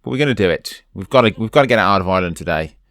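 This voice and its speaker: A man's voice: deep voice, lively intonation, racing, a bit bright, dry recording. A man from the UK commenting casually over a game, chatty and urging his character on, while losing his voice. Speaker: let's play host.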